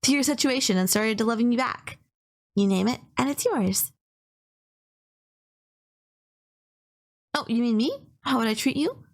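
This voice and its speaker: A woman's voice: on the high side, lively intonation, brisk. Woman acting a polite, obsessively lovestruck young kidnapper. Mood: eager, devoted. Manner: apologetic, earnest, rambling, fussing over politeness. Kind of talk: intimate anime-style roleplay audio acting.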